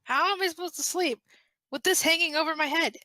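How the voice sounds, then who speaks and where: A woman's voice: very high-pitched, brisk, pushed and bright, no room sound, pitched above the performer's own voice. A woman voicing a playful, pleading, dramatic young man in a fan-made audio drama. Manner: teasing, dramatic.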